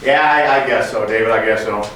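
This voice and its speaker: A man's voice: on the low side, a little fast, a bit bright, lightly acted. Man as a scheming, chummy finance chief, echoey room. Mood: feigned dismay. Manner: chummy, coy, hesitant hinting. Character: smooth-talking scheming male corporate finance chief, feigning innocence. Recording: echoey room, distant mic, background noise.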